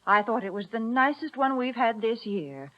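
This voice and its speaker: Lively, high-voiced 1940s radio spokeswoman. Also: moderate pace. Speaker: sponsor's spokeswoman and co-host. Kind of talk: 1940s radio advertising patter. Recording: muffled old radio broadcast.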